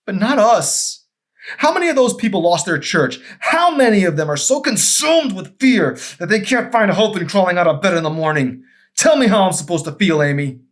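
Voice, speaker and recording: A man voicing a desperate, anguished husband and fallen pastor, emphatic and often shouting, in an audiobook. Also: high-pitched, very animated, normal speed, voice pushed up. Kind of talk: dramatic audiobook character acting.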